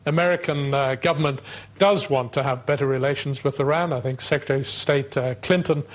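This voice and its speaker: A man hosting a live call-in talk show, relaxed and self-deprecating, with many fillers. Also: mid-range voice, a little fast.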